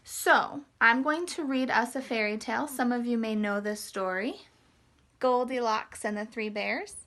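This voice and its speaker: Female children's storyteller, higher-pitched and very bright, expressive, explains things simply. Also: measured pace. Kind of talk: storytelling read-aloud for young children.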